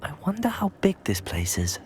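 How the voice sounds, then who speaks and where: A man's voice: fairly high pitch, wildly swooping pitch, moderate pace, darkish tone. A young man playing a curious, brave teenage wizard in a video game, musing aloud to himself. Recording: background music under the voice.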